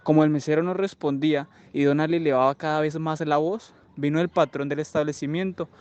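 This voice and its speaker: A radio drama monologue by a man playing an aggrieved army sergeant. His voice is crisp and resonant, a little high, and he recounts every detail deliberately. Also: fairly even intonation, a little mellow, dull audio, close to an everyday voice. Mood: aggrieved, recounting.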